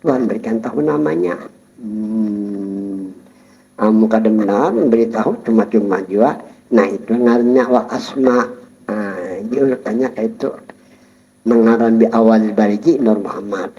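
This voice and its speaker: A man giving a Sufi study-circle lecture, explaining calmly and chuckling while he addresses his listeners. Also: lowish voice, a little slow. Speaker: religious teacher. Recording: dull lo-fi recording.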